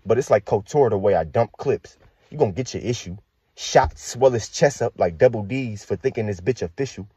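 A young man with an American accent and a husky, rough voice, delivering aggressive, boastful battle rap verses a cappella. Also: lowish voice, brisk, warm tone, no echo. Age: young adult. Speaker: battle rapper.

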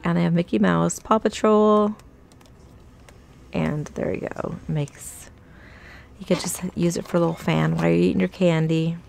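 A woman narrates her store walk-through in a relaxed, upbeat chat, pointing out items and prices. Her voice sits a little low and has a gravelly, fry-laden edge. It sounds picked up on a handheld phone inside a big shop. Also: normal speed, pauses often. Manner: chatty, upbeat, reads out prices. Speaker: shopping vlogger. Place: inside a large retail store.

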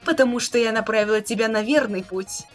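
A woman's voice: fairly high pitch, a little fast, soft background music, lightly acted. A woman playing a smug, condescending young schemer with a theatrical manner in a webcomic reading. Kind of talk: dramatic reading of a webcomic chat.

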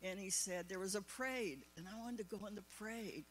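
A woman's voice: mid-range voice, brisk, a little hiss or hum, low-quality recording. An older woman telling a long personal anecdote to a panel audience, chatty and often breaking into laughter. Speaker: panel guest. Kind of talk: storytelling anecdote.

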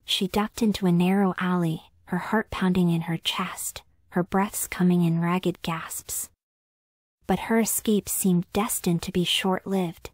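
Low whispering woman telling a story. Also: steady tone, a little slow, no room sound. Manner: soft whispered delivery. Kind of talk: ASMR story narration.

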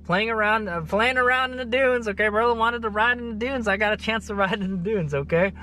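A man's voice: sits high, brisk, sharp tone. A man in his thirties giving a casual, rambling trip recap to camera from inside a vehicle, chuckling often and tagging phrases with "okay". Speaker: motovlogger.

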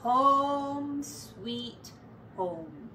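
A woman's voice: high voice, animated, extremely slow, sharp tone, heavily acted. A woman voicing a small, polite, curious male pocket mouse from a picture book, hesitant and full of questions. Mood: contented. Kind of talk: children's picture-book character voice. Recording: roomy, distant mic, faint noise.

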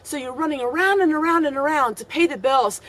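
A middle-aged woman with a fairly high voice speaks to her camera outdoors. She explains things in a casual, earnest vlog style, leaning on key phrases and repeating them for emphasis. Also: quick pace, a bit bright. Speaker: homesteading vlogger and host. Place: outdoors on a deck and yard of a rural home.

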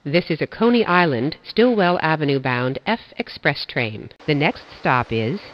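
A woman reading recorded train announcements in a measured, formulaic way with careful enunciation. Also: low-pitched, expressive, no room sound, muffled recording.

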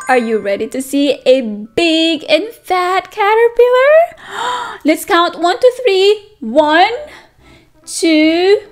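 A woman teacher reading a picture book aloud to toddlers, asking questions and counting along with them. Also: very high-pitched. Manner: animated sing-song, asks children questions and counts along. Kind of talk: read-aloud circle time for toddlers. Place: indoors at a table, only hands and book in frame.

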